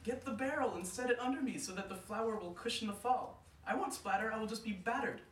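A man's voice: brisk, slight background noise. A man voicing Anansi, a clever male spider father, in a raised, urgent voice. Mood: frantic. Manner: high, urgent, shouting. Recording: distant roomy mic.

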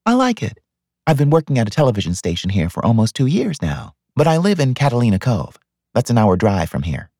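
A man's voice: mid-range voice, wildly swooping pitch, a little fast, warm tone, no echo, studio quality. A man voicing a polite, guarded young career woman in an audiobook story. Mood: polite, pleasant.

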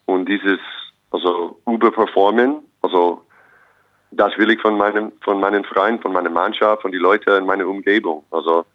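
Young American coach, very low voice, positive and earnest, dry dull recording. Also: male voice, steady tone, moderate pace, dry recording. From United States. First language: English. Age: young adult. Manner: optimistic, earnest, restarts phrases often. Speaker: basketball head coach. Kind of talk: interview guest.

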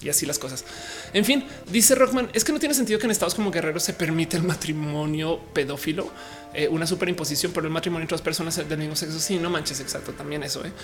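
A woman's voice: low-pitched, fast, soft background music. A woman with a Mexican accent, chatting casually on a live stream and reading viewers' comments, upbeat and slangy, laughing often.

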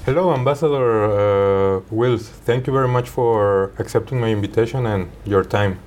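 A man's voice: fairly low pitch, normal speed, darkish tone, faint music behind. A man hosting his own interview podcast, reading a prepared introduction with small stumbles and restarts, then asking his guest questions. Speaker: podcast host.

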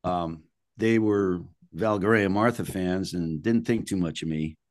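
Deep-voiced older man telling stories, dry sound. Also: measured pace, dry recording. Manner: blunt, colloquial, salty. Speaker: musician being interviewed. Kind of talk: casual anecdotal storytelling.

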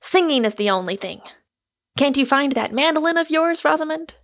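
A woman's voice: high voice, expressive, moderate pace, a bit bright, pitched above the performer's own voice. A woman voicing an exuberant, argumentative Irishman, a storybook suitor who declaims with great emphasis. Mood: eager.

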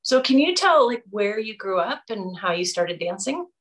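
A woman's voice: medium pitch, sing-song, normal speed, poor audio. Chatty woman podcast host. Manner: chatty, enthusiastic, rambling. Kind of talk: casual interview chat. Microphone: video call.

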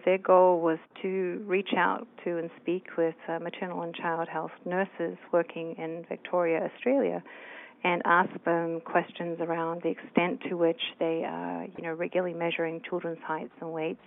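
A woman's voice: on the low side, flat delivery, moderate pace. A woman from New Zealand, a researcher answering interview questions thoughtfully with many fillers. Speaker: researcher guest. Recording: tinny phone line.

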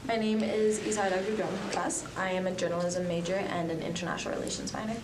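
A young woman from the Dominican Republic and Colombia talks through her answers casually, full of hedges and fillers. Her voice sits a little low, and she sounds set back from the microphone in a reverberant room. A steady noise runs under the recording. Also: quick pace. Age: young adult. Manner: chatty, many fillers and hedges. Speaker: student journalist guest. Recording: echoey room, distant from mic, noisy.

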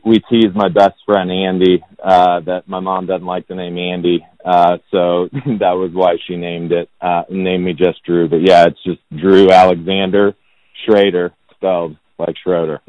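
Man, thirties, from Indianapolis, monotone, self-deprecating, dull lo-fi recording. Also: deep voice, measured pace, no room sound. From Indianapolis, United States. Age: 30s. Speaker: guest, real estate agent. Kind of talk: casual podcast interview. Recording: dull, lo-fi sound.

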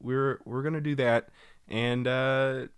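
A man's voice: mid-range voice, fairly even intonation, takes it slowly, smooth, no echo. A young man co-hosting a podcast, chatty and laughing often as he explains things. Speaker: podcast co-host. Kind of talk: casual chat.

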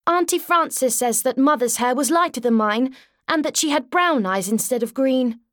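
A woman's voice: high voice, normal speed, no room sound, clean studio sound, natural voice. A woman voicing a pert, matter-of-fact ten-year-old girl in a storybook, with a prim, sniffy manner.

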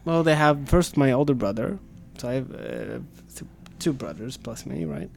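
A man's voice: medium pitch, expressive, moderate pace, creaky, a little mellow, slight background noise. A man in his twenties who grew up in Sweden, answering interview questions as a camp staff guest, hesitant with many fillers. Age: 20s. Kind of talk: casual interview.

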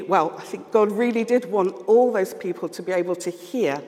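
A man with a London accent preaching a sermon, earnest and measured, pausing often as he reflects. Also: sits high. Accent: London English. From London, England. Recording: long reverb of a large hall.

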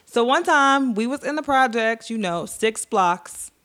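A woman from Queens, co-hosting a podcast and telling stories in a relaxed, playful way. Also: on the high side. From Queens, New York. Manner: relaxed, playful, drawn-out storytelling. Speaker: podcast co-host. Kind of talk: casual storytelling chat.

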